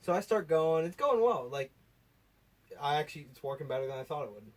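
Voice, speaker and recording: A man's voice: on the high side, brisk. An eighteen-year-old American man co-hosting, telling stories in a casual, chatty style. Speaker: co-host. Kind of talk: casual storytelling chat. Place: basement room. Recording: voice somewhat distant from the mic.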